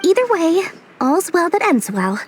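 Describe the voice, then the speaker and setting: A woman's voice: extremely high pitch, sing-song, a little slow, a little hiss or hum, full character voice. A woman voicing a small, shrewd, money-loving female merchant in a video game, with a cheeky, theatrical, sing-song delivery. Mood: matter-of-fact. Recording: game music behind voice.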